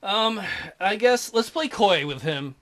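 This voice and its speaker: A man's voice: high-pitched, expressive, takes it slowly, a bit bright. A man hosting a visual novel let's play, reading aloud and cracking playful jokes. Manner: joking, playful asides.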